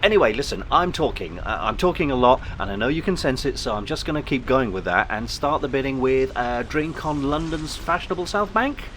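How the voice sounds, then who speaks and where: An awkward man on a first date, played by an actor, chatty and self-conscious. His pitch moves about freely as he rambles. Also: animated, brisk, few pauses, a bit bright, a little hiss or hum, natural voice. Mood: nervous, flirty.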